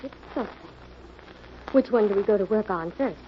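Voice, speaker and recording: An actress playing an inquisitive, practical detective's assistant in a 1940s radio mystery. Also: female voice, medium pitch, expressive, normal speed, lightly acted. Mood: curious. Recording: noisy, muffled old-time radio recording.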